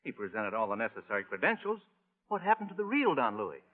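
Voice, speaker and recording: An actor playing a polite, flustered, gullible client in a 1940s radio drama. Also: male voice, medium pitch, sing-song, fast talker, close to an everyday voice. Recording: muffled, echoing vintage radio recording.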